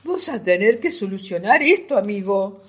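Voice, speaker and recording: A woman's voice: medium pitch, sing-song, moderate pace, a bit bright, breathy edge. A woman in amateur radio theatre playing a stressed, irritable young man who grumbles in exasperation. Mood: uneasy. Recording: audible background noise.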